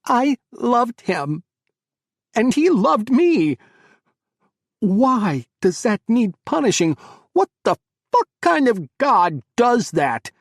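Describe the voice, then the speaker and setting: Grief-stricken young widower played by a man, pleading, sobbing, husky, gravelly. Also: mid-range voice, normal speed, pauses often, dry recording, studio quality. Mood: screaming. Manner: pleading, breaking into sobbing shouts.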